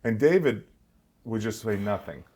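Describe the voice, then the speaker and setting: A man's voice: lowish voice, sing-song, a crowd in the background. A man hosting a casual podcast chat, interviewing a guest in a rambling way and laughing often. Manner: fast, rambling talker who laughs often. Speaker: podcast host.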